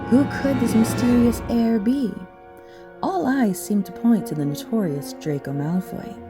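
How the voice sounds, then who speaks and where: A woman narrator reading a scripted story dramatically for an audio play. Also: medium pitch.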